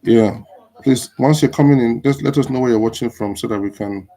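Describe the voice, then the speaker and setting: Easygoing Nigerian man, mellow voice. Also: medium pitch, poor audio. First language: Igbo. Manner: unhurried, many fillers and pauses. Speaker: live stream host. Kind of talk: casual live stream chat with viewers.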